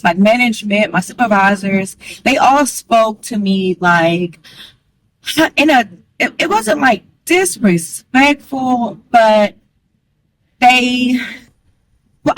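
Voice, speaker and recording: Woman vlogger, strong voice, quick candid delivery, mid-pitched, dry room. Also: no room sound, rough recording. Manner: chatty, candid, calls listener girl; fast talker. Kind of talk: casual talk to camera.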